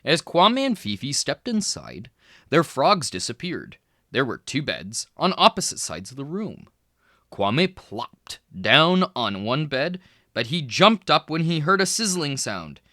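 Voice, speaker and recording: Lively, unhurried male storybook reader. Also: on the high side, dry recording, studio quality. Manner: lively, expressive reading with small voice shifts for characters. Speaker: children's book reader. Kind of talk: read-aloud storybook narration.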